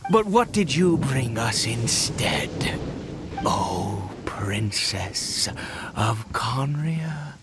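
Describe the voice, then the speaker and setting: A man voicing a fanatical, grief-broken nobleman father in a video game, theatrical and halting in delivery. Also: medium pitch, sing-song, very noisy, heavily acted. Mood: bitter reverence. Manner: theatrical, halting, with breaks into laughter. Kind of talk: dramatic video game voice acting.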